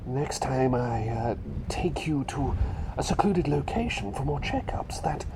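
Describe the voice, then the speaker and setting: A man voicing a mild, flustered doctor turned into a small talking bird for an ASMR roleplay, softly, often whispering, hesitating and repeating himself. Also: medium pitch, close to an everyday voice. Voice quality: soft, breathy, often dropping to a whisper. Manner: hesitant, rambling, repeats phrases. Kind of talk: ASMR roleplay, personal attention. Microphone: close ASMR microphone. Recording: close microphone with clear background noise.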